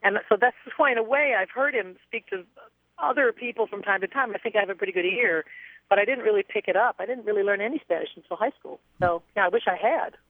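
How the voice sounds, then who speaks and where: A woman's voice: medium pitch, fast talker, no echo. Woman guest, reflective storyteller, tinny phone line, dry sound. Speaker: interview guest, singer. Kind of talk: phone-in radio interview.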